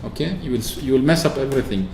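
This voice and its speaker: A man from Oman chatting casually through a software walkthrough, explaining with fillers and restarts. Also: mid-range voice, racing, gravelly, slight background noise. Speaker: tech video host. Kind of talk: screen-recorded software walkthrough. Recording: echoey room with faint ambience.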